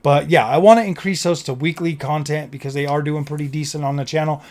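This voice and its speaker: Higher-voiced man, rambling casual vlogger. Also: fairly even intonation, fast, a little mellow, a little hiss or hum. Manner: rambling, repeats phrases for emphasis. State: slightly hoarse. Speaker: gaming and tech content creator. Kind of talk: casual vlog talk to viewers.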